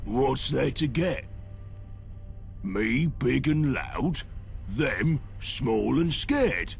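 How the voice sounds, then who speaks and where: An actor playing a simple, good-hearted big brawler in a video game, with a gravelly British voice and a halting, earnest manner that fumbles for words. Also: male voice, medium pitch, big pitch swings, faint music behind, exaggerated voice. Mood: earnest, plain. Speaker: companion character in a video game.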